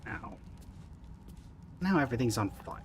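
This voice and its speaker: A man's voice: mid-range voice, lively intonation, normal speed, background noise. A man giving casual, dry, wry commentary as he streams a video game. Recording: music behind the voice. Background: video game music.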